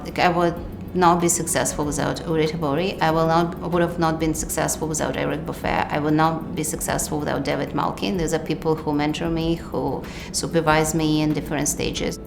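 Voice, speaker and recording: A middle-aged woman from Ukraine with a Ukrainian accent, speaking in a measured documentary interview with hesitant fillers. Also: sits low, gravelly. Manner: measured, thoughtful, hesitant fillers, non-native grammar. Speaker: physician and researcher.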